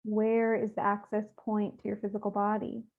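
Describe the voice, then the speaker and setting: A woman leading a guided meditation, speaking gently and without hurry, with soothing instructions and pauses. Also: mid-range voice, completely flat delivery. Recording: thin, phone-like narrow-band audio.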